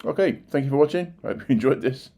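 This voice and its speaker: A man's voice: mid-range voice, lively intonation, fast, creaky, dark tone. An older man, presenting local history in informal narration with plain-spoken conversational asides. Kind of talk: informal narration of old newspaper clippings.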